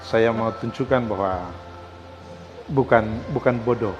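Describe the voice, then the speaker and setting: A man from South Papua, a podcast guest speaking in measured, emphatic short phrases. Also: fairly low pitch, dull audio, music in the background. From Asmat, South Papua, Indonesia. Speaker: podcast guest, law academic and lecturer. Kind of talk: personal storytelling in an interview.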